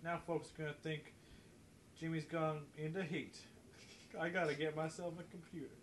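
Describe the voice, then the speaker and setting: A man voicing a busy, brisk lady newspaper editor in an amateur comedy radio play, theatrical and full of endearments. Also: on the high side, fairly even intonation, close to an everyday voice. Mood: wry. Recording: slightly noisy podcast recording.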